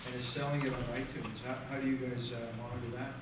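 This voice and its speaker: Male audience member, persistent halting questioner, off-mic in a very echoey room. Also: mid-range voice, flat delivery, recorded from a distance, very noisy. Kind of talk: informal questions from the floor. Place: indoor presentation room, in audience.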